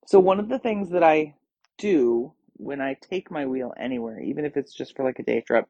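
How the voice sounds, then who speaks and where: A tired woman with a hoarse, scratchy voice, hosting her own podcast in a chatty, upbeat way. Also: sits low, normal speed, mellow, no room sound, muffled recording. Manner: chatty, upbeat, with small laughs. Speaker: podcast host. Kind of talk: casual solo podcast talk.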